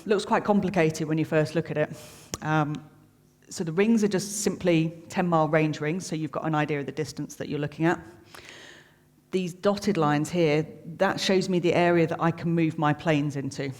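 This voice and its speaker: Echoey, plain-spoken middle-aged presenter. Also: medium pitch. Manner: plain-spoken, chatty, explains jargon with everyday comparisons. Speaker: air traffic controller giving a talk. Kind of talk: informal explanatory presentation. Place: reverberant room, live talk to an audience. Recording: long echoing hall reverb.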